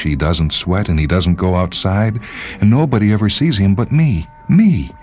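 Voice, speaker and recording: A man's voice: sits low, a little mellow, pitched above the performer's own voice. A man voicing a distraught, bitter middle-aged woman in a short-story reading, with anguished exclamations.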